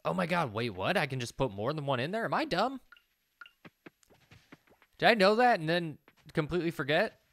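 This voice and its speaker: A man's voice: fairly high pitch, animated, normal speed, vocal fry, long pauses, fairly bright tone. A man in his thirties giving casual gameplay commentary, talking to himself in a self-deprecating way. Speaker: let's play gamer. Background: faint game music.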